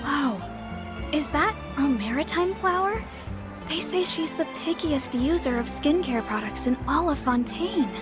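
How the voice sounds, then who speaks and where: A woman's voice: sits high, animated, measured pace. A woman playing a curious, impressed shopper in a video game. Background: music.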